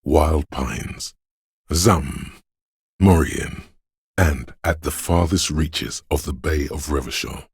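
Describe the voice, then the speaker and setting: Game narrator, an omniscient, brooding inner voice; bottomless bass register, close dry sound, gravelly, slow and solemn. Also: male voice, darkish tone, no room sound, exaggerated voice. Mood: solemn, haunting. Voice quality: very deep, gravelly husky. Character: brooding, all-seeing inner voice sensing a wintry city.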